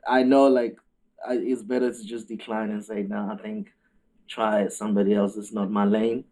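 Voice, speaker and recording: A man's voice: lowish voice, fairly even intonation, moderate pace, mellow. A man with a South African accent answering questions as a guest musician in a conversational interview. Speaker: interview guest, recording artist.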